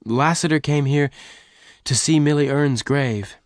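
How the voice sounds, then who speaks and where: A man's voice: fairly high pitch, unhurried, no echo, clean studio sound, pitched above the performer's own voice. A man raising his voice for a pleading young woman. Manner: raised, pleading voice.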